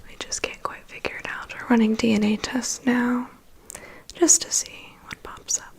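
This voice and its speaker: A woman playing a calm, prying vampire's assistant in an ASMR roleplay, murmuring dry asides and reassurance in a breathy whisper. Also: a little high, normal speed, full character voice. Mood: curious, reassuring. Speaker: ASMR performer. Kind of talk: ASMR roleplay, personal attention.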